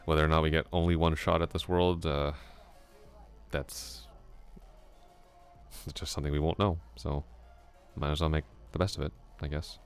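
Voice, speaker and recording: A man voicing a chatty young male classmate in an ASMR roleplay, musing aloud in a soft, rambling way with small chuckles. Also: a bass voice, little pitch movement, normal speed, long pauses, smooth, faint music behind.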